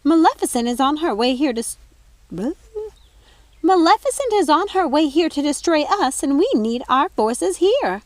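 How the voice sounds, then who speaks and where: Haughty storybook fairy godmother acted by a female reader: high, swooping, shrill and scolding. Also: lively intonation, normal speed, no echo. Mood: alarmed, outraged. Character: haughty, indignant fairy godmother, squealing and accusatory.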